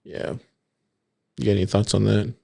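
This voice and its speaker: A man co-hosting a casual podcast, reading forum posts aloud in a steady way and asking his co-host for his thoughts. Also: on the low side, moderate pace, gravelly, slightly rough, dry recording. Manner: reads quotes in an even, steady way, then asks for opinions.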